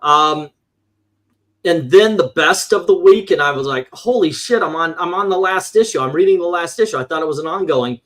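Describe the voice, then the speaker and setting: A man's voice: a little high, normal speed, fairly bright tone. A man reviewing comic books in casual, opinionated panel chat, rambling and swearing, acting out his exasperated reactions.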